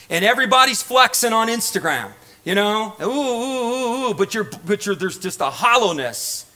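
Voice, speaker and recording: A man's voice: high-pitched, sharp tone. A middle-aged man preaching an impassioned sermon, emphatic and repetitive, prodding listeners with rhetorical questions. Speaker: pastor. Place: church stage.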